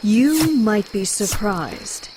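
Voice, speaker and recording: A proud warrior woman, voiced by an actress, dry and haughty at a medium pitch. She teases in deadpan motion-comic style over clear background noise. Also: very slow. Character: proud, dry-witted female Amazon warrior. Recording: noticeable background ambience and hiss.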